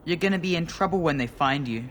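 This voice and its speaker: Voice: mid-range voice, expressive, quick pace, fairly bright tone, a little hiss or hum, natural voice. An actor playing a wary, eerie little village boy in a fantasy game, guarded and defiant. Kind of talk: fantasy video game dialogue.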